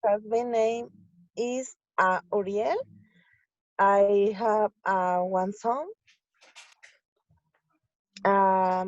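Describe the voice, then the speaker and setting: A woman in her twenties from Mexico, a native Spanish speaker, introducing herself in halting beginner English on a video call. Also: mid-range voice, darkish tone, dry recording, highs cut off. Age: 20s. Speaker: language exchange student. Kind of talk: casual video call chat.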